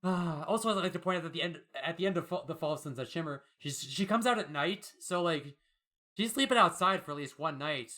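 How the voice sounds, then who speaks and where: A man's voice: a little high, a little fast, pushed and bright. A man hosting a podcast, chatting casually and reading out listener mail in a wry, jokey way. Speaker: podcast host.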